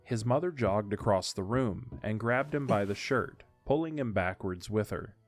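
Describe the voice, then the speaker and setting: A man's voice: on the low side, animated, moderate pace, dry recording, faint music behind. A man reading scripted story narration for an audio drama.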